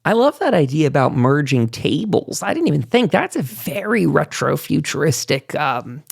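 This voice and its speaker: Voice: medium pitch, sing-song, normal speed, creaky, slightly rough. A podcast host leading a casual, enthusiastic roundtable about gaming, talking in an effusive, emphatic, rambling way. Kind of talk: casual enthusiastic panel discussion about a video game.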